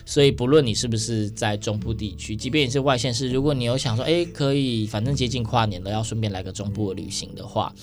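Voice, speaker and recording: A man's voice: medium pitch, fast talker, few pauses. A man with a Taiwanese Mandarin accent hosting a casual interview in a radio studio. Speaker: radio show host.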